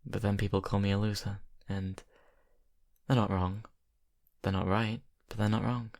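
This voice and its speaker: A man's voice: on the low side, level tone, normal speed, gravelly, darkish tone. A man playing a brooding young vampire confiding in his best friend in an ASMR roleplay, hesitant and intimate. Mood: brooding, guarded.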